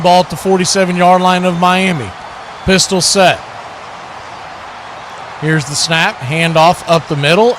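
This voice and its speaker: A man calling American football play-by-play live on a stream, excitable and speaking in punchy, clipped phrases. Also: sits high, background noise. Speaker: play-by-play commentator on a livestream watch party.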